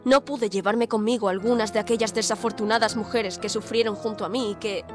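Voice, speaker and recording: Audio drama role: indignant young woman reporter played by an actress, highish voice, dramatic and articulate, music behind. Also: fairly even intonation, brisk, natural voice. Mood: grave, recounting. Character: defiant young woman reporter posing as an asylum inmate. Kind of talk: audio drama narration and dialogue. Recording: music under the voice.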